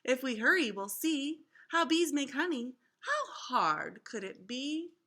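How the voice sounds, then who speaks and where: A woman voicing a polite, earnest young storybook boy who talks deliberately. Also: high-pitched, big pitch swings, sharp tone, full character voice.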